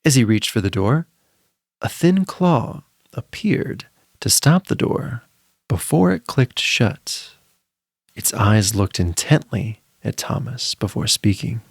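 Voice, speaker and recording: A man's voice: medium pitch, big pitch swings, takes it slowly, gravelly, breathy edge, no room sound, clean studio sound. A man narrating a spooky children's story from a script in a dramatic, suspenseful way.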